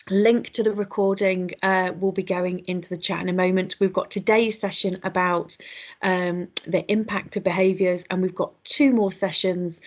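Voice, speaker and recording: A woman with a slightly croaky voice, hosting an online webinar and welcoming attendees in a chatty way, with lots of ums and quick self-corrections. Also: mid-range voice. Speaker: webinar host. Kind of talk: welcoming an online audience, housekeeping.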